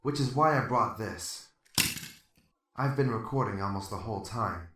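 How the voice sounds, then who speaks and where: A man's voice: lowish voice, normal speed, a bit bright, a little hiss or hum, lightly acted. A man playing a restrained, by-the-book police detective in a radio drama, speaking firmly and with control. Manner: firm, controlled, reproachful. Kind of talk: amateur radio drama acting. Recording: roomy, slightly distant, music underneath.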